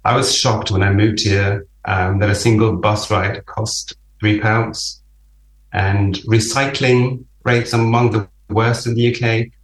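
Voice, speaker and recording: A man's voice: fairly low pitch, fairly even intonation. A man from Chile raised in Germany, a local election candidate giving an earnest prepared statement with a few restarts. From born in Chile, raised in Germany. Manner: earnest, slightly halting with restarts. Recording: roomy, distant-mic recording.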